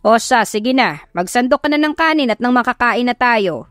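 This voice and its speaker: A woman voicing a gentle, concerned middle-aged father in an audio drama, caring and softly questioning. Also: a little high, lively intonation, normal speed, no echo, clean studio sound, faint music behind, pitched above the performer's own voice. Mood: welcoming.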